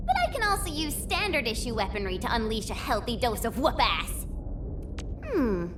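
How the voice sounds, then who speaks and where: High, peppy young swordswoman. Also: background noise. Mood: curious, pleased. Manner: peppy, bold, playfully boastful. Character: eager, cocky young female martial-arts sword fighter. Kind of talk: video game character voice lines.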